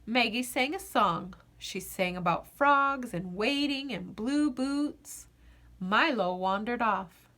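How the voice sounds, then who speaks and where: A woman reading a children's picture book aloud as an expressive narrator, with deliberate phrasing and dramatic pauses. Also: fairly high pitch. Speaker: children's storybook reader.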